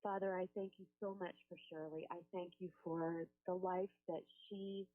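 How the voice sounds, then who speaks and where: A woman's voice: lowish voice, level tone, normal speed. A woman working as a helpline phone coach, talking a caller through in a soothing, reassuring way and praying with her. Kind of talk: counseling phone call and spoken prayer. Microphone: telephone. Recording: tinny phone-line recording.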